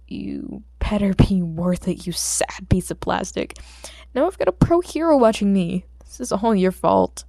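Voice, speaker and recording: Audiobook role: nervous young male vigilante, self-critical mutterer, soft dark timbre played by a woman. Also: mid-range voice, lively intonation, a little slow, gravelly, no room sound, clean studio sound. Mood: anxious scolding. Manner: jittery, muttering to himself.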